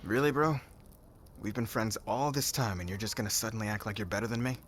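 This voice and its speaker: Cocky young warrior, played by a man, lowish voice. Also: fast, fairly bright tone, slight background noise, close to an everyday voice. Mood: sarcastic, annoyed. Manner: slangy, casual, stammering when defensive. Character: cocky, defensive young warrior hiding a bookish past. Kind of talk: ASMR audio roleplay drama.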